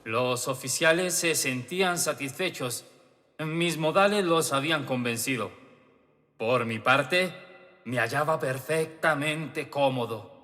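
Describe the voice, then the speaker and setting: An actor playing a tormented, paranoid murderer in an agitated, halting dramatic radio horror monologue. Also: male voice, medium pitch, bright, forceful tone. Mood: uneasy composure. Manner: agitated, halting, building to frantic outbursts. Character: tormented, paranoid male murderer confessing his crime. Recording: long hall reverb, music underneath. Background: music.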